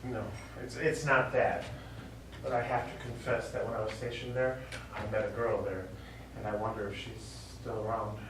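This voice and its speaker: A man's voice: fairly low pitch, flat delivery, lightly acted. Man as grieving widower, very echoey and distant. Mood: wistful, hesitant. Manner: halting, subdued, deliberate. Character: reserved, grieving middle-aged American widower, war veteran. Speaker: stage actor. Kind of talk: live stage play dialogue. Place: small indoor theatre studio, recorded from audience distance. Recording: very echoey, distant, noisy stage recording.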